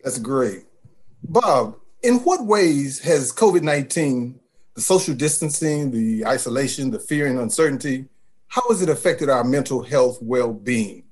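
A man's voice: mid-range voice, expressive, measured pace, darkish tone. A man hosting a radio interview segment, reading scripted introductions and questions. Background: music.

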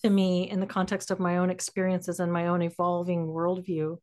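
Lower-pitched American woman, thinking aloud. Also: completely flat delivery, brisk, a little mellow. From Texas, United States. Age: middle-aged. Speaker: podcast host. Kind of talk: reflective conversational discussion.

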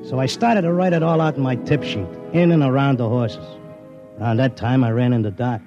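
A man's voice: mid-range voice, fairly even intonation, a little fast, natural voice. An actor playing a stubborn, slangy racetrack tipster in a 1950s radio drama, speaking plainly as he tells his story. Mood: weary narration. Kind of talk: 1950s radio acting, first-person narration and dialogue. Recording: muffled old radio recording, music behind.

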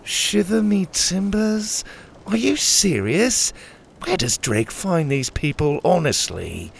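A man's voice: fairly high pitch, expressive, moderate pace, slight background noise, pitched above the performer's own voice. Man playing a sarcastic, exasperated ship crewmate. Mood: incredulous, sarcastic. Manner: dry sarcasm, incredulous. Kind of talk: children's adventure audiobook character voice.

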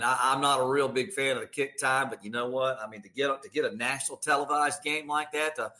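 Bright, forceful male sports announcer. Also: medium pitch. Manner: emphatic, repeats phrases for emphasis. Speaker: radio play-by-play announcer, interview guest. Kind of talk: conversational sports interview.

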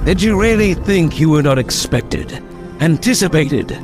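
An actor playing a grandiose, coldly menacing villain with cosmic ambitions in an old-time radio drama, speaking with mocking deliberation. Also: male voice, on the high side, expressive, unhurried. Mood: gloating triumph. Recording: dramatic music behind.

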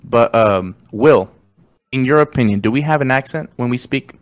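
A young man whose first language is Spanish, hosting a casual podcast chat in slangy, animated talk, often saying "you know what I'm saying". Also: mid-range voice, moderate pace, no echo. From Mexican American. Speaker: podcast host.

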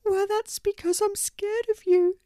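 Lady doing a teeny scared boy mouse for a kids story, super high n squeaky, all trembly. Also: steady tone, unhurried, warm tone, no room sound, studio quality. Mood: frightened. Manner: trembling, exclamatory. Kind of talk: children's storybook character voice.

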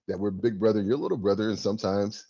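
A man's voice: on the low side, flat delivery, fast, dark tone, breathy edge, highs cut off. A man co-hosting a casual sports podcast, talking in a laid-back, wry and joking way. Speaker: podcast co-host.

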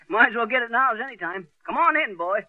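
A man's voice: extremely high pitch, animated, fairly quick, dry recording. An actor playing a jovial, blustery small-town husband in a 1930s radio comedy, chuckling as he talks. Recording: tinny old radio broadcast with hiss.

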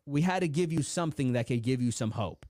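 A young adult man from the United States, a motivational speaker telling his life story in an interview, acting out the voices of his family with big swings in pitch. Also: fast, no room sound, clean studio sound. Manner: animated storyteller, acts out quoted dialogue with big pitch swings.

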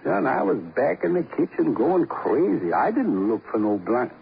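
A folksy grill owner in a vintage radio crime drama. He rambles wryly, with lively swings in pitch. The old recording is narrow, boxy and noisy. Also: normal speed, gravelly. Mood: wry, garrulous.

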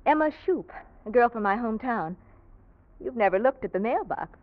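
A woman's voice: fairly high pitch, animated, normal speed, lightly acted. An actress playing a poised, guarded young single woman in an old radio drama, speaking politely and with reserve. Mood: polite, coy. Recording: dull, muffled old radio recording.

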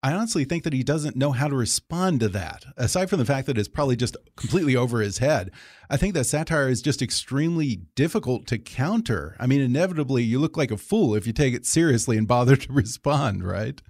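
Male interviewer, medium pitch, conversational and articulate, recorded dry with no room sound. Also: animated, a little fast, a little mellow, no room sound.

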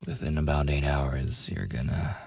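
A man playing a taunting, possessive werewolf in an ASMR roleplay, with a gravelly, husky, breathy voice and a teasing, menacing manner. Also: very deep voice, normal speed, darkish tone, exaggerated voice. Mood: coolly resigned. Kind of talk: boyfriend ASMR audio roleplay acting.

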